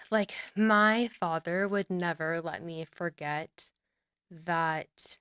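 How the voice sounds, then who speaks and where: A young woman from the United States speaks in a relaxed, earnest way as a podcast guest. Her voice sits a little low and sounds smooth and clear. The recording is close and dry. She leans on "like" a lot and often trails off and starts again. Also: very slow, no room sound. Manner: relaxed and earnest, heavy use of "like", trailing off and restarting. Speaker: podcast guest, olive oil brand founder. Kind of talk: casual interview conversation.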